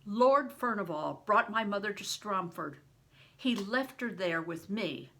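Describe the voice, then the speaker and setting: A woman's voice: mid-range voice, fairly bright tone, a little hiss or hum. An older woman reading aloud as a defiant young peasant boy, speaking earnestly and firmly.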